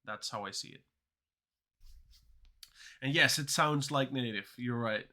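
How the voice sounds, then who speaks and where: A man's voice: medium pitch, a little slow, bright, forceful tone. A man whose first languages are Dutch and Turkish, chatting casually on a coding livestream and answering chat questions as he goes. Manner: rambling, answers chat questions mid-talk. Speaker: streamer learning to code.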